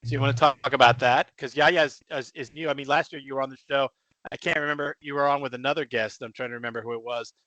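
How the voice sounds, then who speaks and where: A man hosting an informal radio interview, speaking in a halting, self-deprecating way. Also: mid-range voice, steady tone, pushed and bright, dry recording, rough recording. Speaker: radio show host.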